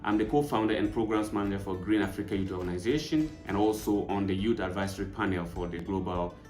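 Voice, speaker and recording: A man's voice: low voice, quick pace, darkish tone, reverberant, soft background music. A man from northern Ghana, explaining his motivation steadily in a testimonial. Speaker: programs manager. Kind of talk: scripted testimonial.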